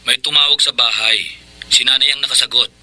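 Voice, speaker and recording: A man's voice: mid-range voice, steady tone, brisk, bright, forceful tone, poor audio. A man playing a suspicious, jealous young boyfriend in a Filipino radio drama, accusing in manner, with a Filipino accent.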